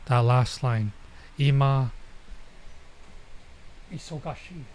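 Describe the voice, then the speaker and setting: A man doing casual let's play commentary as a streamer, informal and halting as he reads and mutters through translations. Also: medium pitch, darkish tone, a little hiss or hum. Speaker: streamer playing a visual novel.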